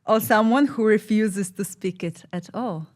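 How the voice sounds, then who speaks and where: A woman's voice: mid-range voice, measured pace, a little mellow. Playful woman interviewer, English. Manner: playful, teasing questions. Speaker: interviewer host. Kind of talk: casual interview chat.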